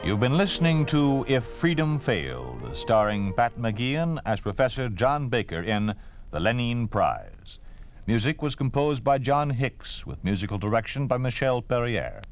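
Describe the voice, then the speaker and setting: An American man announcing on 1950s radio, reading a solemn script. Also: mid-range voice. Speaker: announcer, military sergeant.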